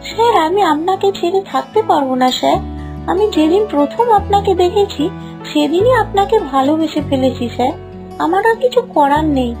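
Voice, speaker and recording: A woman voicing an infatuated, bold young female student in an animated story, gushing and insistent. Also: very high-pitched, moderate pace, music in the background. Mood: pleading, pushy.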